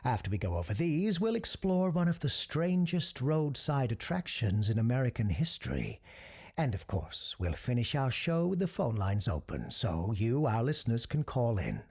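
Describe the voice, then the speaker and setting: An actor playing a composed, earnest parapsychologist hosting an eerie radio show, speaking in a measured, formal announcer style. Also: male voice, mid-range voice, very animated, a little mellow, breathy edge. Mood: welcoming, formal. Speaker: host of a paranormal call-in radio show. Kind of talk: scripted radio-show narration.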